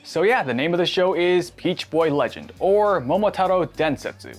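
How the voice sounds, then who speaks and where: Male narrator, native Cantonese speaker, wry and animated delivery with a fairly high, expressive voice. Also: moderate pace, music in the background. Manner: animated, wry and self-deprecating. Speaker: anime video essayist. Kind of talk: scripted video narration.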